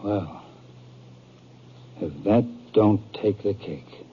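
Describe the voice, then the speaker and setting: Husky, low-voiced western posseman. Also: drawn-out words, exaggerated voice. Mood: bewildered. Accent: American. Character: puzzled, plain-spoken western posseman. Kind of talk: 1950s radio western acting. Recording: tinny old radio recording, faint music.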